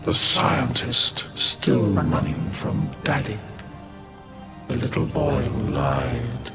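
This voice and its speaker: An actor voicing an ancient, all-knowing evil beast in a science fiction drama, scornful and ominous. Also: male voice, mid-range voice, expressive, vocal fry. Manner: slow, ominous, contemptuous. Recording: loud music behind the voice.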